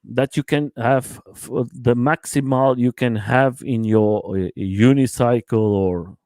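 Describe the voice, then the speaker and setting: Hesitant man from Portugal, halting English. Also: medium pitch, darkish tone, no room sound. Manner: halting, searching for words, many fillers. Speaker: guest. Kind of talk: casual live stream chat.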